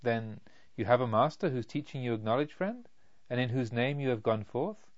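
A man acts out a wise spiritual master from a storybook. He is calm and detached, offering measured sayings in a serene manner. His pitch sits in the middle, and the sound is a bit dull. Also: dry recording, close to an everyday voice.

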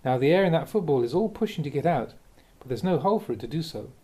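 A man reading a Buddhist teaching aloud like a monk giving a talk, in a measured, conversational and expressive way. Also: fairly high pitch, slightly rough. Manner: measured, expressive, with rhetorical questions. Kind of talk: read-aloud Dhamma talk, conversational delivery.

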